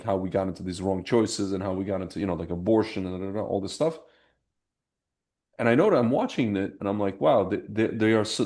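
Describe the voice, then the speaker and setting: A man's voice: on the low side, darkish tone. Rambling man hosting a casual chat. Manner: rambling, many restarts and fillers. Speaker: podcast host.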